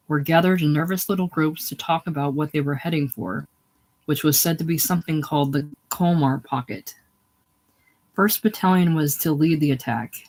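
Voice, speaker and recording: A man's voice: on the high side, a little mellow. Man reading a war novel aloud. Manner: stumbles and rereads phrases. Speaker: audiobook reader. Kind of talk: narrated reading of literary war fiction.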